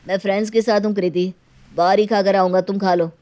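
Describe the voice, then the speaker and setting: A woman's voice: medium pitch, steady tone, a little fast, mellow, voice pushed down, close to an everyday voice. Woman acting brusque, irritable young husband. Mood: dismissive. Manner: brusque, dismissive. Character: selfish, irritable young husband, careless and defiant.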